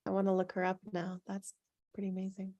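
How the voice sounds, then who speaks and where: A woman hosting a casual group chat in a relaxed conversational way. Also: mid-range voice, fast, muffled recording. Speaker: host.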